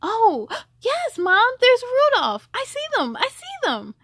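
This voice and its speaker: A woman's voice: very high-pitched, wildly swooping pitch, takes it slowly, a bit bright, no echo, studio quality, pitched above the performer's own voice, heavily acted. A woman voicing an eager, curious young boy in a children's bedtime storybook. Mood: excited. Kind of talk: children's storybook read-aloud.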